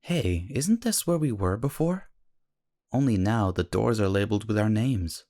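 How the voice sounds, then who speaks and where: A man voicing an earnest, caring, easily exasperated young protagonist in an anime-style audio drama, slightly husky. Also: lowish voice, lively intonation, moderate pace, mellow, dry recording, studio quality. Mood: puzzled.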